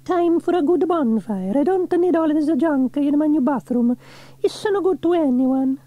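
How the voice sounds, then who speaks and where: A man's voice: extremely high pitch, lively intonation, normal speed, dark tone, dry recording, pitched above the performer's own voice. A man voicing a friendly, busy Italian woman who runs a café, in a children's storybook. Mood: decisive.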